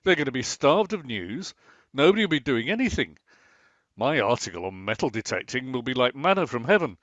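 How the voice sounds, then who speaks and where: An actor playing a defensive, pedantic middle-aged husband in an amateur radio play, who stammers when caught out. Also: male voice, medium pitch, very animated, a little fast, fairly bright tone, dry recording. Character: Martin, a bored middle-aged husband obsessed with online tank games. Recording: slightly muffled, lo-fi.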